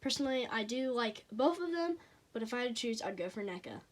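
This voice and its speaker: A teenager with a childlike voice, reviewing toy figures in a casual, hesitant way with frequent pauses and fillers. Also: big pitch swings. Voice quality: childlike, high voice.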